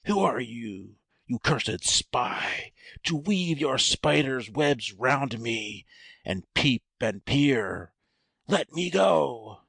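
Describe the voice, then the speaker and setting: Actor as slow, creaky American swindler prophet. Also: male voice, medium pitch, big pitch swings, fairly bright tone, no echo, full character voice. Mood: furious, cornered. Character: grandiose male cult prophet turned coarse, furious swindler. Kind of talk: dramatised audiobook acting.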